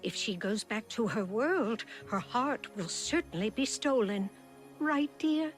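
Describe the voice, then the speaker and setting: An animated, kindly older fairy godmother. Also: fairly high pitch, measured pace. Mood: knowing, reassuring. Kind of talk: video game voice acting. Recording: game music behind the voice.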